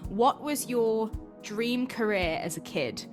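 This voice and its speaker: A woman's voice: fairly high pitch, animated, slow, faint music behind. A woman hosting an informal podcast interview, asking questions in a chatty, informal way.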